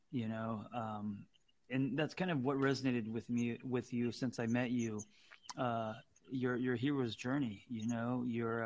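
A man born in Korea whose first language is Korean, hosting a podcast interview in an encouraging, affirming way with frequent fillers. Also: mid-range voice. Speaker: podcast host. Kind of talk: casual interview.

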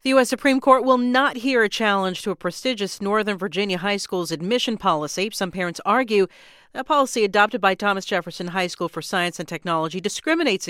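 A woman's voice: fairly low pitch, a bit bright, dry recording, clean studio sound. A woman with an American accent reading scripted radio news as an anchor.

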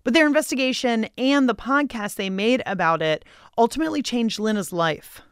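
A woman's voice: a little high, expressive, moderate pace, smooth, no room sound, clean studio sound. A woman with an American accent hosting a radio show, reading scripted narration in a playful, conversational way. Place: dry studio.

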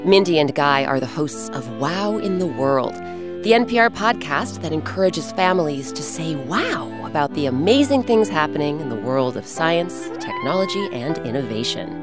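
A woman hosting and narrating a scripted children's story with expressive, emphatic delivery and dramatic pauses. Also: low voice. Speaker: host and narrator. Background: music.

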